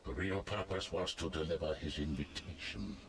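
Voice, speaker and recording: An actor playing an ancient, stern and wrathful god of the dead in a video game, with a very deep gravelly voice and a grave, imperious, formal manner. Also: male voice, normal speed, background noise. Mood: grave, solemn.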